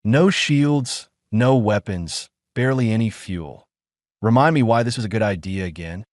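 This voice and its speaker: A man voicing a gruff, sarcastic human mercenary pilot. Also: on the low side, normal speed, no echo, clean studio sound, close to an everyday voice. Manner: sarcastic, gritty.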